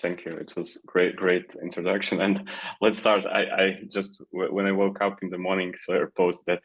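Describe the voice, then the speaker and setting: A man interviewing a guest, asking his questions haltingly and restarting his words. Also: sits low, flat delivery, breathy edge, rough recording.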